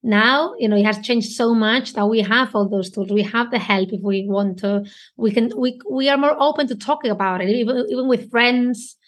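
A woman's voice: on the high side, normal speed. A woman hosting a podcast interview, chatting casually and affirming her guest often. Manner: warm affirming, frequent "mm" and "yeah". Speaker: podcast host.

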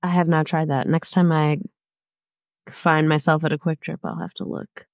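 A woman's voice: deep voice, little pitch movement, normal speed, vocal fry, dry recording. A young woman in her twenties co-hosting a casual chat podcast, a bit nasal from a cold, giggling and rambling with lots of "like"s. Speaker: podcast co-host. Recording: slightly muffled lo-fi audio.

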